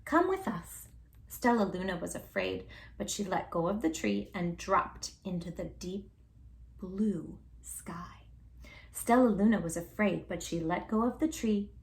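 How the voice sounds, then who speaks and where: A woman reading a children's story aloud in an expressive, exaggerated manner, speaking directly to young listeners. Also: medium pitch, vocal fry. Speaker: children's storytime host and teacher-like reader.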